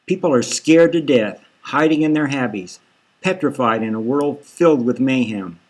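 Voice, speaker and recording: A man voicing a disdainful, brutish cop who presses a suspect in a dark satirical audio novel. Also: mid-range voice, moderate pace, lightly acted, poor audio. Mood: insistent. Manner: pressing, confrontational.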